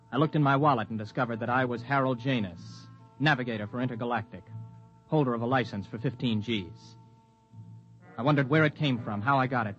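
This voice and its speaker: An actor playing a skeptical, independent space pilot in a 1950s radio drama, with a blunt, plain-spoken, hard-boiled delivery. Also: male voice, medium pitch, lightly acted. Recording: dull lo-fi vintage radio recording.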